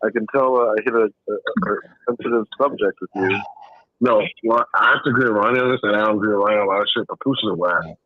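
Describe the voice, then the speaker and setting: A man's voice: fairly low pitch, quick pace, no echo, narrow, tinny audio. A man in casual podcast banter, groggy and blunt in his replies.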